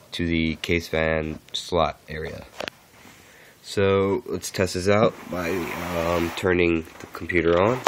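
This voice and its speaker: A man who is a little sick, talking through a casual how-to walkthrough in a halting, unscripted way. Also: low-pitched, a bit bright, a little hiss or hum. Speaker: tech tutorial host.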